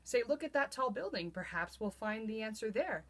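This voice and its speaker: Storybook court jester, played by a woman: earnest, hopeful, troubled but determined, expressive rhyming delivery. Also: on the high side, normal speed.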